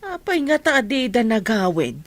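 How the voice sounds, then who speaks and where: A woman's voice: a little high, big pitch swings, normal speed, dry recording. A woman playing a village mother who scolds plainly, with an Ilocano accent, in a radio comedy drama. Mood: defensive. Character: village mother nagging her student daughters.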